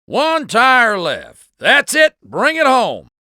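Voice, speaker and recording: A brash agent sidekick in a video game. His voice is very high, sharp and lively, slangy and always urging. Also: takes it slowly, no echo, clean studio sound, exaggerated voice. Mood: excited urging. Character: brash, wisecracking male American agent ally. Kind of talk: video game radio chatter.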